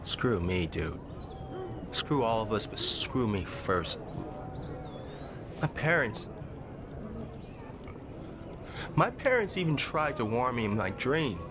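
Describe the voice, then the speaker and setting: A man's voice: mid-range voice, big pitch swings, a little slow, long pauses, noisy background. A man playing an anguished, idealistic teenage American boy in a fantasy audio drama, speaking with stammering, slangy intensity. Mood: self-loathing.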